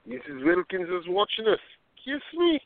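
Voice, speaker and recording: Radio play role: droll, playful male teacher, played by an actor, highish voice, very animated, theatrical teasing. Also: normal speed. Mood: mock romantic. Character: playful bearded schoolteacher, a woman's pretend boyfriend. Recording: narrow phone-like sound.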